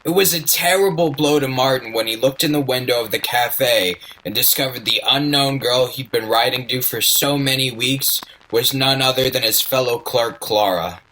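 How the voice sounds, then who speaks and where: An actor playing a chatty male narrator of a radio play, halting and drifting folksily off the point. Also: medium pitch, bright, forceful tone, slight background noise, close to an everyday voice, poor audio. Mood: sympathetic. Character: folksy, rambling male storyteller, wistful and chatty.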